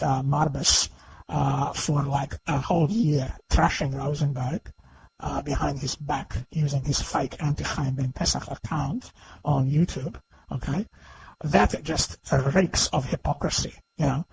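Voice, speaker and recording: A man giving unscripted, argumentative commentary in a halting but emphatic way, repeatedly seeking agreement. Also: a little high, creaky, low-quality recording. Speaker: online video commentator. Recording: faint background noise.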